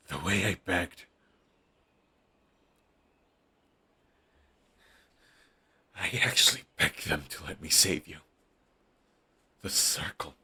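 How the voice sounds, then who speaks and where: A man's voice: sits low, measured pace, creaky, pushed and bright, slightly rough, heavily acted. A young man playing a bitter, fiercely devoted male villain in an audio roleplay, talking in an intense, raw way and swearing often. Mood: furious.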